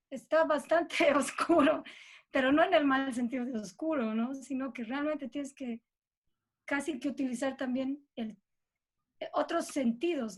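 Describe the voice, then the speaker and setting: High-voiced Bolivian woman in conversation. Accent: Bolivian Spanish. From Cochabamba, Bolivia. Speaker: guest composer. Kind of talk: conversational interview about own work.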